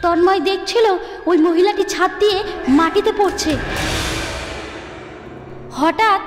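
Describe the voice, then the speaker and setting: A woman storyteller narrating a ghost story dramatically, with deliberate, suspenseful phrasing and pauses. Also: very high voice. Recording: echoey long reverb, music underneath.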